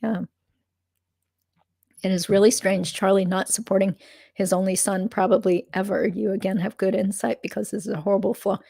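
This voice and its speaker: A woman hosting a legal commentary livestream, chatting casually with fillers and asides. Her voice is slightly croaky. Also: medium pitch, fairly even intonation, normal speed, dark tone, dry recording.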